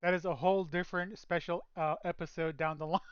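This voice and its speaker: A man hosting a laid-back podcast on his own mic, joking and stumbling over his words as he goes. His voice is a touch high, smooth and resonant. The sound is dry and a little dull and lo-fi. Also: steady tone, fast talker, dry recording. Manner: joking, stammering restarts. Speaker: podcast host. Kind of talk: casual chat. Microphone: own mic on voice call.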